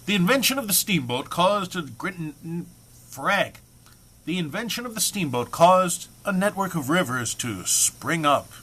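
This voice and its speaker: A man's voice: high voice, lively intonation, unhurried, sharp tone, breathy edge. A man giving a dramatic comic reading of a humorous text, mock-serious in delivery with dry muttered asides. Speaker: reader.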